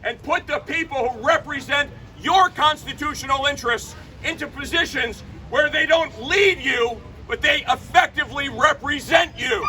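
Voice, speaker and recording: A man giving a fiery political rally speech outdoors, loud and punchy, shouting his slogans. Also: extremely high pitch, normal speed, hardly any pauses, distant mic, crowd noise, background noise. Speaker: rally speaker. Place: outdoor rally by a state capitol.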